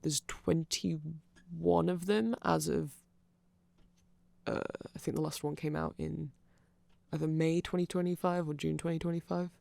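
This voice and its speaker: Gravelly, nerdy man rambling through an explainer. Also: on the high side, fairly even intonation, a little slow, a little mellow, dry recording. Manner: rambling, nerdy, hesitant with self-interruptions. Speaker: ASMR creator explaining band lore. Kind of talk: rambling informal ASMR explainer.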